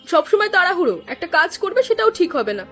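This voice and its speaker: Lady doing a sarcastic nagging village wife, cartoon, high voice, quick talker. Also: big pitch swings, a bit bright, faint music behind, heavily acted. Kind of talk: cartoon voice acting.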